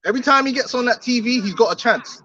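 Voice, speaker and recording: A man's voice: very high-pitched, fast talker, sharp tone, muffled recording. A man preaching outdoors on the street, emphatic and repetitive, often laughing between his points.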